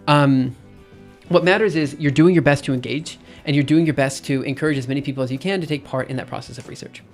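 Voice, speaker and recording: A man in his thirties talking casually on a live stream, thinking out loud with lots of fillers and restarts. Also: on the high side. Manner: rambling, thinks aloud with many fillers and restarts.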